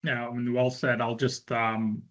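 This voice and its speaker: A middle-aged man from Turkey, a panelist who speaks in a measured way with frequent fillers. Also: mid-range voice, flat delivery, dull audio. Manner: measured, uses um and you know. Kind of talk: panel discussion.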